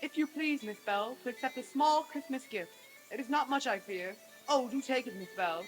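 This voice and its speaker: A woman's voice: sits high, normal speed, faint music behind, close to an everyday voice, rough recording. A woman playing a shy, earnest young man courting his sweetheart in a radio-play reading, bashful and hesitant. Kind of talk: old-time radio stage reading.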